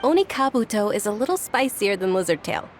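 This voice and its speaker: An actress playing a polite, gentle, earnest young noblewoman in a video game. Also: medium pitch, very animated, a little fast, heavy background noise, natural voice. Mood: pleasant. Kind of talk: video game character acting. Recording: game music behind voice.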